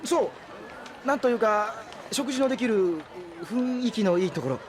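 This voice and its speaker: A man's voice: high-pitched, animated, moderate pace. A man playing a timid, flustered young underling who stammers and apologizes, in an anime audio drama. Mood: embarrassed. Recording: faint street crowd behind.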